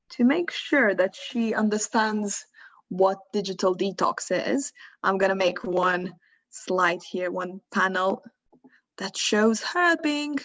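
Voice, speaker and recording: Slow-talking woman. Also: medium pitch, a bit bright. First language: Croatian. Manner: thinks aloud while working, asks learners questions. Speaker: workshop instructor. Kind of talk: live online tutorial.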